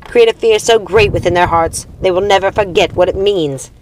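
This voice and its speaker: A cruel and imperious witch queen from a storybook, acted out by a woman reader. She is a proud mother who commands theatrically, with a mid-pitched voice. The home recording has audible noise. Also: normal speed, close to an everyday voice. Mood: commanding, bloodthirsty.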